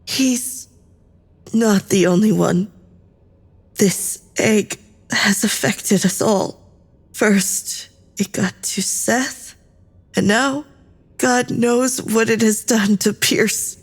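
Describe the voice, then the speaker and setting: A woman's voice: medium pitch, very slow, long pauses, husky, heavily acted. A woman playing an anxious, defiant crew member in an audio drama, speaking earnestly and with emotion. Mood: grave.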